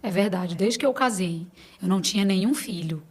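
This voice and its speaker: A woman's voice: mid-range voice, a little fast, breathy edge. A middle-aged Brazilian woman talking casually as a podcast guest, telling stories and quoting conversations as she goes.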